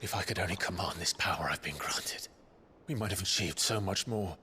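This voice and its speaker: An actor playing a brooding, determined rebel leader in a video game, solemn and measured. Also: male voice, low-pitched, pushed and bright, a little hiss or hum. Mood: frustrated, burdened. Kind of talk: dramatic video game cutscene acting. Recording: cinematic music behind the voice.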